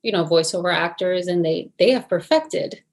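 A woman's voice: lowish voice, normal speed. A middle-aged woman from New Jersey, an actress answering interview questions in a thoughtful, hesitant way with many fillers and restarts. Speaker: actress, guest.

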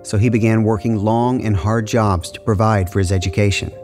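An actor voices a wry, self-aware young man telling his own life story in a plain, measured reading. The voice sits a little low, with soft music beneath. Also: steady tone, lightly acted. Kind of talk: reading from an autobiography.

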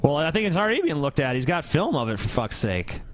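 A man's voice: a little high, big pitch swings, fast talker, slight background noise. An American man co-hosting a comedy podcast with sarcastic, foul-mouthed, irreverent banter. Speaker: podcast co-host.

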